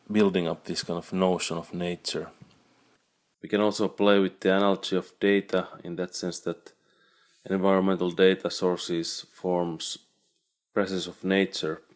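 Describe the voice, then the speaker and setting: Low flat-voiced man. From Finland. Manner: halting, searching for words, non-native phrasing. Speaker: artist and naturalist. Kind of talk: reflective interview monologue.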